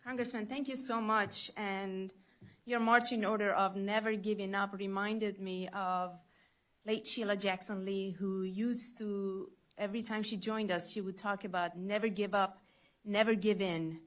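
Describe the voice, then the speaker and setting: A woman's voice: medium pitch, fairly even intonation, fairly bright tone. Woman moderating a formal briefing. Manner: measured, formal, some fillers. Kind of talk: formal introductions.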